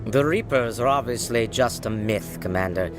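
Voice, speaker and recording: An actor playing a shrewd, doubting alien councillor in a video game, with a probing, dismissive manner. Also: male voice, mid-range voice, moderate pace, close to an everyday voice. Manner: probing, sceptical. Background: music.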